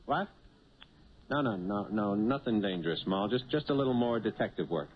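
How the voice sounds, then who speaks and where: A man's voice: fairly low pitch, lively intonation, moderate pace, lightly acted. An actor playing a male cab driver turned small-time blackmailer in a 1970s radio drama, with hesitant, folksy working-man phrasing. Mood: nervous, evasive. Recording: muffled old radio broadcast, loud vehicle noise.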